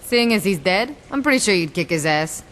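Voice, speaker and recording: A woman playing a tough, sardonic street gang leader, dry and deadpan, in a video game cutscene. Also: medium pitch, fairly quick, a little hiss or hum, close to an everyday voice. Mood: dryly amused. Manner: deadpan, dry, foul-mouthed.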